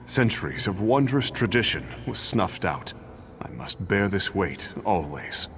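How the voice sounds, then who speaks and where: A man's voice: deep voice, very animated, a little slow, a bit bright, heavily acted. A man voicing the burdened spirit of a legendary warrior in a fantasy game, grave and formal. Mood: sorrowful. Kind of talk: fantasy video game acting.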